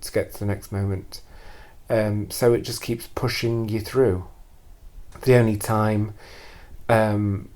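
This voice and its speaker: A man telling a first-person confessional story in a deadpan, deliberate and hesitant way, repeating phrases for emphasis. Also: lowish voice. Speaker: storyteller performing a personal monologue.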